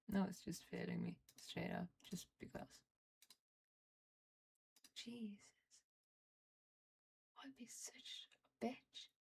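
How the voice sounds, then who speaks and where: Slow, gravelly Norwegian woman streamer. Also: medium pitch, huge pitch swings, a little mellow, slight background noise. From Norway. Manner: frustrated, swearing, muttering under her breath. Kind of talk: casual livestream chat while fiddling with software.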